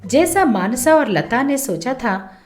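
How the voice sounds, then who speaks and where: Female storyteller for scripted tales, high voice, light music underneath. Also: very animated, slow. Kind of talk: scripted story narration for Hindi moral tales.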